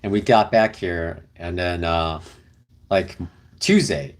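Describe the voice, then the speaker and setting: A man's voice: low-pitched, slow pace. A young man casually chatting on a livestream with his partner, reading viewer comments in a rambling, easygoing way. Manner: rambling, easygoing, many fillers. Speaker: livestream host. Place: car camping, indoors with partner.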